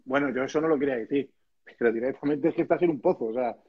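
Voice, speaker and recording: A man's voice: medium pitch, very fast, very noisy. A man, co-hosting a casual live stream from home and teasing his friend in a mock-serious way while reading viewer comments aloud. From Madrid, Spain. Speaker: percussionist, live stream co-host. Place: indoors, small room at home. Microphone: phone or laptop camera, video call. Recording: slightly dull, lo-fi stream audio.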